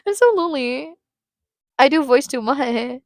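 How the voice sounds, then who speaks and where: A woman's voice: high voice, expressive, measured pace, no room sound, studio quality. A woman from the Philippines chatting casually on a live stream, rambling and cutting herself off, laughing often.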